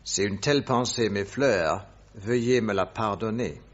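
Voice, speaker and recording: An actor playing a suave, self-assured detective posing as a royal secretary in a French radio drama, husky, formal and courteous. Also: male voice, lowish voice, a little slow. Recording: dull lo-fi radio recording.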